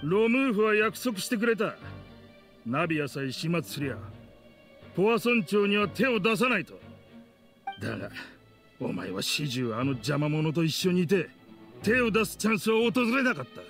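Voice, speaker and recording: A bitter, vengeful middle-aged man from an anime game, spits rough, contemptuous accusations. His fairly high voice swings widely in pitch, with music behind it. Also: big pitch swings, moderate pace, pauses often, fairly bright tone, exaggerated voice. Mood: bitter, menacing. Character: bitter, vengeful man in a grudge-driven confrontation.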